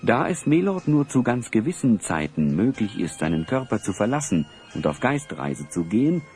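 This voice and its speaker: A man's voice: on the low side, big pitch swings, moderate pace, a little mellow, faint music behind. A man narrating a fantasy audio play in a scripted storybook style.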